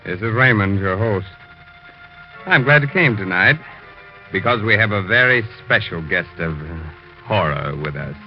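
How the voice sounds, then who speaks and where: A muffled 1940s radio recording with faint music presents a macabre horror host. He speaks in a deep male voice, teasing and tongue-in-cheek, with deliberate hesitations. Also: lively intonation. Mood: mischievous, macabre.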